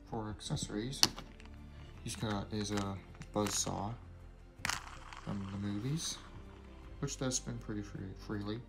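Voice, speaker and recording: A young man with a lowish voice talks through a build step by step, relaxed and casual. He slips in small asides and corrects himself as he goes. Clear music plays behind him in an indoor room. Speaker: toy builder reviewing his creation. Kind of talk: casual hands-on toy review narration. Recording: music playing in the background.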